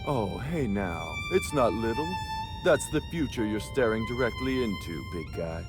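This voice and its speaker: An actor playing a sleazy, seductive fallen angel villain in a video game, teasing and theatrical. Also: male voice, fairly low pitch, very animated, moderate pace, over music. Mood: smug, teasing. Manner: drawling, teasing, mock-sweet. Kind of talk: dramatic video game voice acting.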